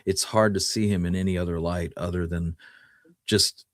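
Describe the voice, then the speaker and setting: A man's voice: low voice, steady tone, normal speed, dry recording, studio quality. A man co-hosting a history show, talking casually and explaining things in an informal storytelling way. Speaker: co-host presenting research on Old West history. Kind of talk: casual conversational history podcast talk.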